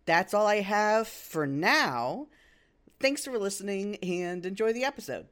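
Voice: medium pitch, expressive, a little slow, a bit bright. A podcast host of unclear sex making casual, informal announcements to listeners.

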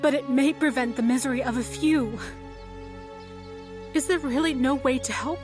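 An earnest young noblewoman played by an actress in a medieval audio drama, her voice fairly high and intense. She speaks formally, with reproach and compassion, over faint music. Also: moderate pace, close to an everyday voice. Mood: impassioned. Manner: formal, intense, righteous delivery.

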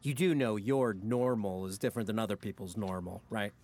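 A man's voice: on the low side, fairly quick, darkish tone. A man acting as a cynical, jaded ad man in a comedic audio drama, melodramatic and profane as he needles his best friend. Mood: sardonic, needling.

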